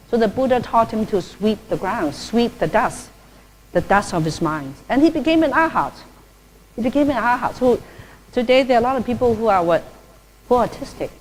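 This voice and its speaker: Chatty nun with a friendly lilt. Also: female voice, fairly high pitch, a little hiss or hum, poor audio. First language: Cantonese. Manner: chatty, frequent tag "okay?", laughs easily. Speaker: Buddhist nun giving a dharma talk; publications director. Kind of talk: informal dharma talk.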